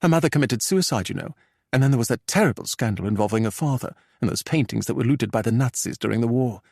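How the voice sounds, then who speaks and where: A man's voice: medium pitch, lively intonation, quick pace, vocal fry, a little mellow, slightly rough, dry recording, clean studio sound. A man voicing an arch, gossipy, well-preserved society woman for an audiobook.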